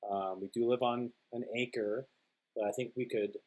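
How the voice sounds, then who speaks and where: A man's voice: medium pitch, steady tone, moderate pace, a little mellow, rough recording. A man joining his wife's podcast as a guest, reading listener questions and answering in an easygoing way. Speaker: guest, host's husband. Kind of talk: casual Q&A chat.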